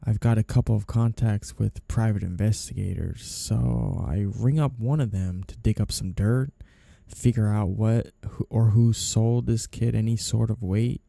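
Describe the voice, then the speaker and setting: Man playing a very dark-voiced, sly mafia boss. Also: on the low side, steady tone, unhurried, creaky, no room sound. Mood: sly, measured. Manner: teasing, insinuating, mock-innocent. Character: smooth flirtatious male mafia boss, sly and two-faced. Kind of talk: ASMR roleplay, noir period-piece monologue to a listener.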